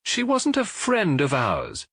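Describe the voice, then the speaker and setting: Guy putting on a young dance hall girl, pitched up, guarded and plain-spoken, audiobook. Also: wildly swooping pitch, slow, fairly bright tone, slightly rough, clean studio sound, full character voice. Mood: guarded, curt. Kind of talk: audiobook character dialogue.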